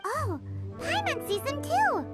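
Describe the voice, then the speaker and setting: A tiny, excitable fairy from a video game. The voice is extremely high and childlike, swinging up and down with cheeky energy. Prominent game music plays behind it. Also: female voice, slow, exaggerated voice. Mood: eager. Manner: refers to self in third person.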